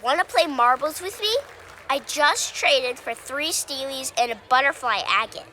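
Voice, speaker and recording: A woman's voice: sits high, moderate pace, background noise, close to an everyday voice. A woman voicing an eager, innocent, wide-eyed young kid in a children's Christmas puppet show. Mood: eager, curious.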